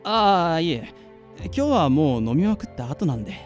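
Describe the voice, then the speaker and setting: A man's voice: fairly high pitch, sing-song, normal speed. Man acting a bewildered young-sounding guy, heavily echoing. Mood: startled, hesitant. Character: weary, bewildered adult man narrating his ghostly encounter. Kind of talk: radio drama dialogue and first-person narration. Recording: echoey reverberant sound, music underneath.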